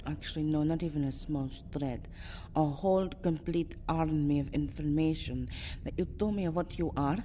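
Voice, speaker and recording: A low, mellow female vampire, acted by a woman, seductive yet guarded, in an intimate ASMR roleplay. She speaks in formal, dramatic phrases and often drops to a breathy whisper. Faint noise sits behind the voice. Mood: accusing. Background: soft ambient sound.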